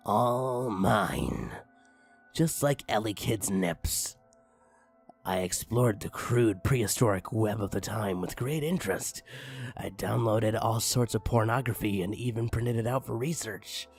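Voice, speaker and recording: Husky man reading a mock-serious story over music. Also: lowish voice, unhurried. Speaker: narrator reading a parody creepypasta story aloud. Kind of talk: comedic dramatic storytelling. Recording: music bed under the voice. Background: background music.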